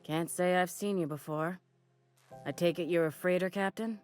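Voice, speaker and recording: An actress playing a brisk, no-nonsense space station trader in a video game, wry, businesslike and faintly exasperated. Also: female voice, lowish voice, fairly even intonation, darkish tone, soft background music, natural voice. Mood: wry exasperation. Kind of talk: video game dialogue acting.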